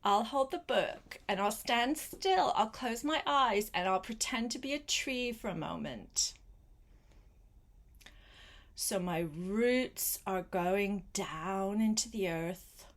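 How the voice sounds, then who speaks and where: An older woman reading a picture book aloud to a small child, gentle and coaxing, with chatty asides spoken straight to the child. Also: medium pitch, expressive. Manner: gentle, unhurried, coaxing, addresses the child directly.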